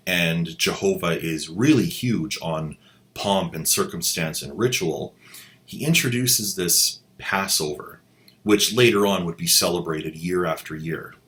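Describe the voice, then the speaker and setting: Slow, sarcastic low-voiced man. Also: fairly bright tone. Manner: sarcastic, mocking, incredulous. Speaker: host, commentator. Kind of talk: critical commentary on audio drama clips.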